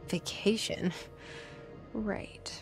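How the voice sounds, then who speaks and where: A woman's voice: drawn-out words, music in the background. An actress playing a guarded young woman, thinking aloud in dry, introspective asides for a video game. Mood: doubtful. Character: wry, guarded young woman director's inner voice. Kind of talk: game character internal monologue.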